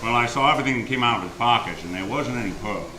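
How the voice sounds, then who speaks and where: A man playing a cool, wry, deadpan private detective in an old-time radio drama, speaking tersely. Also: mid-range voice, racing, a little hiss or hum, close to an everyday voice. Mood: wry, probing. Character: hard-boiled male private detective narrating his case, cool and wry. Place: theater stage, live radio drama performance. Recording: roomy live stage, loud music behind.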